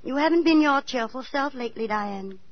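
A woman's voice: sits high, lively intonation, brisk. An actress playing a kindly older former stage star in dramatic 1940s radio style, motherly and theatrical. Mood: motherly, consoling. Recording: dull 1940s radio recording with music.

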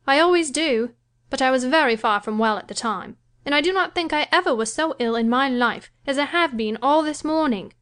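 A woman playing a self-pitying, querulous young married gentlewoman in a dramatised classic novel, complaining and self-important. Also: sits high, fairly quick.

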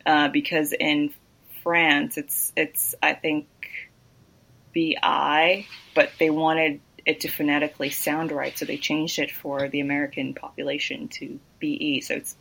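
A woman's voice: deep voice, little pitch movement, measured pace, creaky, fairly bright tone, breathy edge, poor audio. A young woman from Kenya whose first language is Luo, chatting playfully as a podcast guest with teasing asides. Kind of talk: casual chat.

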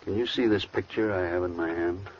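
An actor playing a sober, matter-of-fact police lieutenant in a 1940s radio crime drama. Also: male voice, sits low, fairly quick, lightly acted. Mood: official. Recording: noisy muffled old radio recording.

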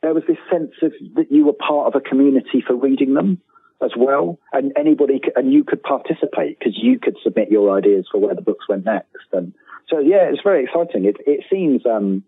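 A man's voice: medium pitch, sing-song, fairly quick, breathy edge, no room sound. A middle-aged man talking casually as an interview guest, rambling, self-deprecating and enthusiastic, over a thin phone-like line.

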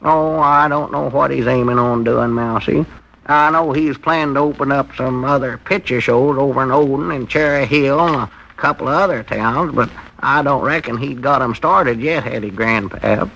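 An actor playing an earnest, fretful country storekeeper with a rural Southern American accent, folksy and plainspoken, in a 1940s radio comedy. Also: male voice, medium pitch, normal speed, creaky, bright, forceful tone. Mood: concerned, explaining. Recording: noisy old 1940s radio recording.

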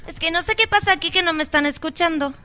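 A woman's voice: very high voice, fast talker, very noisy. A woman voicing a cheerful young Mexican woman guest on a radio comedy show, with a Mexican accent and exaggerated slang. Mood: perky.

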